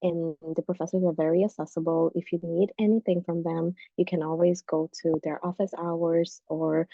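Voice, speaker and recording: A woman's voice: deep voice, gravelly, dry recording. A young woman from Brazil, speaking earnestly and in a friendly way as a student panelist. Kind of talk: casual panel talk. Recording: tinny phone-like call audio.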